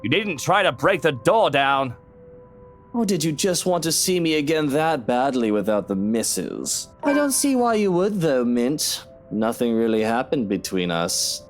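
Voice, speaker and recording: Cheeky married man, lively swooping voice. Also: medium pitch, unhurried. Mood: teasing. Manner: teasing, informal, British-style slang. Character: cheeky, moody married man, musing about his wife. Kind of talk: visual novel game voice acting. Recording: music behind the voice. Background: music.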